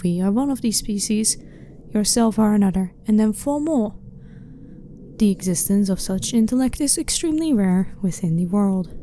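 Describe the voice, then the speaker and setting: A woman acts out a female alien researcher in a sci-fi ASMR roleplay, with a dark, relaxed tone at a middle pitch. She is blunt and clinical but curious and unthreatening, speaking softly and formally to the listener. Noticeable ambient noise sits behind the voice. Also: moderate pace, close to an everyday voice. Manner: blunt, clinical, matter-of-fact, slightly formal. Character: blunt, curious female alien scientist, calm and not hostile. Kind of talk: ASMR roleplay, soft-spoken monologue to the listener.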